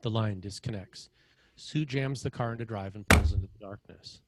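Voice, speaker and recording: Low-pitched man narrating a screenplay. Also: moderate pace, heavy background noise. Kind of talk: scripted screenplay narration, present tense.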